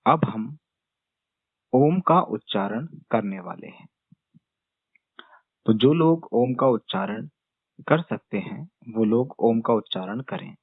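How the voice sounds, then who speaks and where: Slow-speaking man guiding meditation, phone-like sound. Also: mid-range voice, expressive, dry recording. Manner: gentle, unhurried step-by-step instructions with long pauses. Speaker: guided meditation teacher. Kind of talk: guided meditation instruction. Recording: dull phone-like narrow-band sound.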